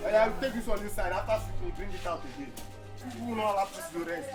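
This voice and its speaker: A man's voice: sits high, fast, close to an everyday voice. A Nigerian-accented man playing a young yam seller, exasperated and pleading. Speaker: skit actor. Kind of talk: comedy skit acting. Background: music.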